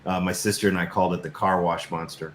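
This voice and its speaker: Male, middle-aged, reflective filmmaker guest. Slightly deep, roomy sound, halting conversational delivery. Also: flat delivery. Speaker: interview guest, documentary filmmaker. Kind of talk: casual conversational storytelling. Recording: roomy echo, faint background noise.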